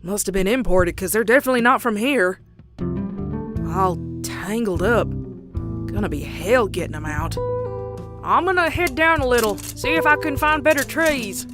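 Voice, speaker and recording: A woman's voice: fairly high pitch, expressive, normal speed, over music, natural voice. A woman voicing a plucky young country farm pony in a horror comic dub, with a folksy Southern US drawl. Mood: puzzled, concerned. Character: young country farm mare, plucky, teasing, later uneasy narrator. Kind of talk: dramatic comic dub with narration.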